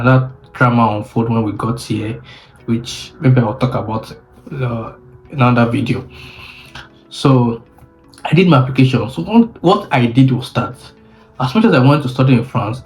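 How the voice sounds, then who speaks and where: Unhurried Nigerian man telling his story. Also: mid-range voice, faint music behind, rough recording. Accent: Nigerian English. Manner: unhurried, with frequent restarts and self-corrections. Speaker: vlogger. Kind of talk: casual storytelling to camera.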